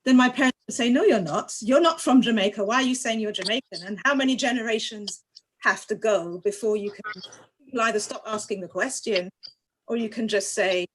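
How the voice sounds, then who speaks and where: A woman's voice: medium pitch, brisk, slight background noise, poor audio. A woman from London on a discussion panel, speaking conversationally and acting out little exchanges as she talks. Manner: conversational, acts out quoted exchanges.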